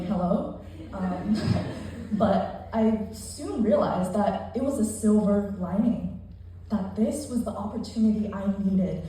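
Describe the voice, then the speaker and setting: A woman's voice: medium pitch, warm tone, distant mic. Young woman speaking in an echoey hall. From United States, immigrated at seven. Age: young adult. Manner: earnest, emphatic, builds to rhetorical lists. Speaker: conference speaker. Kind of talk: rehearsed motivational speech. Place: large conference hall.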